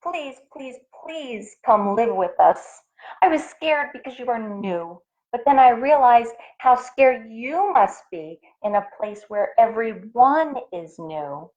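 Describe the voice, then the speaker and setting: A woman's voice: fairly high pitch, animated, slow pace, a little mellow, lo-fi sound, pitched above the performer's own voice. A woman voicing a fearful, earnest little animal in a children's storybook, with emphatic, blurting delivery. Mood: apologetic, earnest. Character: fearful, excitable small storybook animal, softening to kindness.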